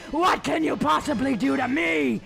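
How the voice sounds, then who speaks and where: A man playing a furious, contemptuous villain in a sci-fi audio drama, shouting with menace. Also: very high voice, creaky, heavily acted. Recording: loud music and crowd noise behind.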